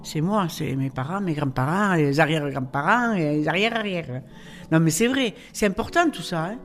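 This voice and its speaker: A man's voice: on the high side, expressive, normal speed. A man speaking emphatically with exclamations in an interview. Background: music.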